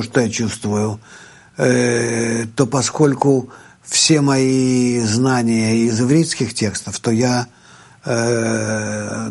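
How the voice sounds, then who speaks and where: A native Russian-speaking man, a guest teacher answering viewer questions in a live talk, slow and hesitant, thinking aloud with many fillers. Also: mid-range voice, darkish tone, breathy edge.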